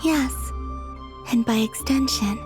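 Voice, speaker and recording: Young game goddess, high soft voice, extremely slow, dreamy, serene, polite. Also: female voice, music in the background. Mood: serene, grateful. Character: gentle, serene young moon goddess woman. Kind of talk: video game voice acting.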